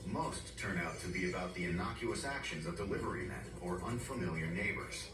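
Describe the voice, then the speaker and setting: A man's voice: low-pitched, lively intonation, fairly quick. A man reading scripted true-crime narration as a documentary narrator. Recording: echoey distant TV playback under loud music. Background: loud background music.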